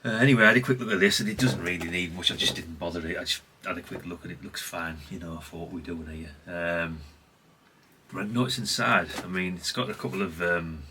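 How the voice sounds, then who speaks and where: A man's voice: sits low, a little fast, pushed and bright, slight background noise. A middle-aged man from chats unscripted as a hobbyist vlogger, rambling and conversational with repeated catchphrases. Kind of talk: unscripted casual chat, showing an item.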